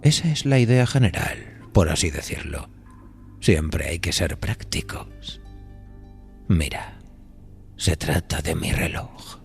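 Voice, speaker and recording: Man acting a gravelly, whispery devilish conductor. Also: big pitch swings, exaggerated voice. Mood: persuasive, unhurried. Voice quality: low, gravelly, breathy near-whisper. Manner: unhurried, insinuating, amused, addresses the listener familiarly. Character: sly diabolical train conductor, a smooth devilish dealmaker. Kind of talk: audio horror drama acting. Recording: music behind the voice.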